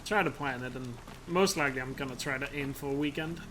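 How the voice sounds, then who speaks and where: A man's voice: mid-range voice, fast, noisy background. Chatty Danish-accented man streaming a speedrun. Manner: chatty, laughs often, swears at his mistakes. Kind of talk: casual live-stream commentary. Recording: stream mic with game sounds behind.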